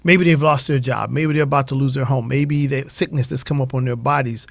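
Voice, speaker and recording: A man talking reflectively on a radio show, explaining his points in a measured way. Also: mid-range voice, fairly even intonation, vocal fry, dry recording.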